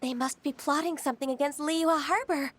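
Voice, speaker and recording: A woman's voice: high-pitched, steady tone, fairly quick, a bit bright. A woman voicing a composed female secretary in a game, formal, polite and direct. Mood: calm. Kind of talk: game voice acting.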